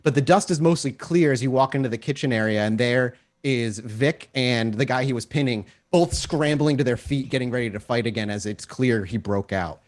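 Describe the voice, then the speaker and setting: A man's voice: medium pitch, moderate pace, hardly any pauses. A man running a tabletop role-playing game as game master, narrating scenes in descriptive, cinematic detail.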